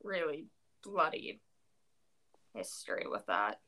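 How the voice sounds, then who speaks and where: A woman's voice: deep voice, sing-song, slow, pushed and bright. A young woman chatting casually about poetry, rambling with many fillers and self-corrections, sounding tired. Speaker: co-host discussing poetry. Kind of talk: casual chat.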